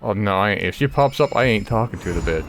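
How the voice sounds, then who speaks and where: A man's voice: fairly low pitch, animated, fast. Blunt, sweary man commenting over game music. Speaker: gaming video creator. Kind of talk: casual gameplay commentary.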